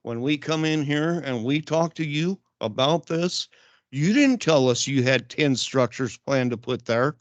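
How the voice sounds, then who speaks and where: A man's voice: a little high, animated, a little slow, dry recording. An older man, a retired resident, making a blunt, rambling complaint at the public comment microphone of a council meeting. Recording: dull lo-fi council chamber mic.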